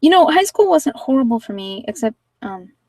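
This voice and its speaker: Creaky-voiced woman, a little distant from the mic. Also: high-pitched, very animated, darkish tone, no room sound, studio quality. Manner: halting, informal, thinking aloud. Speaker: host of a live card-reading session. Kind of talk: casual tarot reading and chat with viewers.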